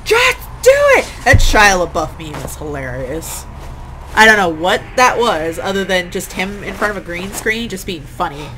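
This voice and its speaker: Playful woman gamer with lively pitch. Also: normal speed, a little hiss or hum. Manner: playful, exclamatory, self-talking. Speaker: gamer playing and commenting. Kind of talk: casual let's play chat with co-commentator.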